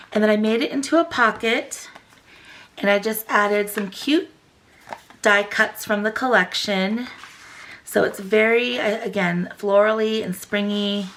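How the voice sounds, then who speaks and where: A young woman hosting a craft channel, chatty and gushing, her voice still a little rough after a cold. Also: a little high, pushed and bright, a little hiss or hum. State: recovering from a cold, voice slightly rough. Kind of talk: casual tutorial chat.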